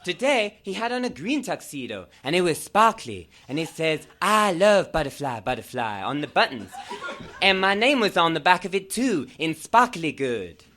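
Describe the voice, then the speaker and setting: A man's voice: fairly high pitch, very animated, moderate pace, sharp tone, slight background noise. A man performing a comedic reading as a melodramatic, gushing nineteen-year-old fangirl telling her own story with exclaiming emphasis.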